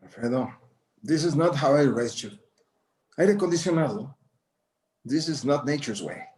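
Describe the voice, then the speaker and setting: A man's voice: a little high, lively intonation, moderate pace, darkish tone, lightly acted. An actor playing a proud, stubborn elderly rancher father, philosophizing in terse phrases at a screenplay table read. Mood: admonishing.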